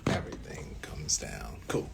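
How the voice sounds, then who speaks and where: A man's voice: measured pace, whispered. A man chatting casually as a radio guest, in short, informal replies. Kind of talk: casual, laid-back chat with short replies.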